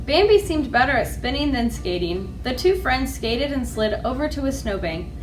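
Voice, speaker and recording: A woman reading children's storybooks aloud as a library storyteller, with an expressive delivery. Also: high-pitched, moderate pace, a little hiss or hum, low-quality recording.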